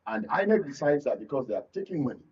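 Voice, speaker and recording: A Nigerian man speaking as a guest in a political panel discussion, halting and repeating words for emphasis. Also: medium pitch, big pitch swings, a little mellow, slightly rough. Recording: dull, slightly distant studio sound.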